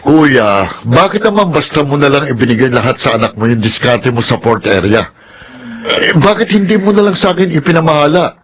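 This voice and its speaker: Actor as a pushy, aggrieved middle-aged uncle. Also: male voice, medium pitch, fairly quick, music in the background, close to an everyday voice. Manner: insistent, confrontational. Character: pushy, aggrieved uncle demanding his share at the port. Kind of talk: Filipino radio drama acting.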